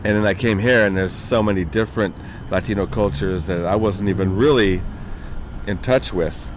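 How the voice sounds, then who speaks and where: A man hosting a casual interview, chatty and quick to laugh, from the American Southwest. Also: lowish voice. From Southwest US, northern New Mexico and southern Colorado. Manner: friendly, chatty, laughs easily. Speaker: interview host. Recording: noisy background with traffic.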